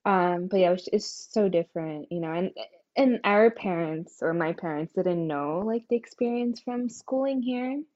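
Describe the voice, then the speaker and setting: A young woman co-host talks through her family background in a rambling, self-correcting way, full of "you know" and "like". Her voice sits somewhat low, and the lo-fi recording sounds a little dull. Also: normal speed, mellow. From New Jersey, US. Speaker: podcast co-host. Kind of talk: casual podcast chat. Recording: dull lo-fi podcast audio.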